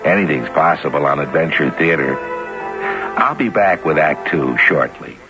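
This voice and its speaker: Slow, deep-voiced theatrical radio host. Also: male voice. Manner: deliberate, dramatic, teasing rhetorical questions. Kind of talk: theatrical scripted narration, 1970s radio. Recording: dull 1970s radio recording with music.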